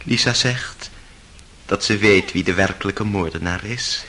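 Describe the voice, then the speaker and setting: A man's voice: sits low, unhurried, bright, forceful tone, exaggerated voice. An actor playing a bitter, tormented intellectual man in a radio play, sardonic and theatrical, brooding and given to outbursts. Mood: anguished, agitated. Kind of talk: dramatic radio play acting. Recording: noisy old radio play recording.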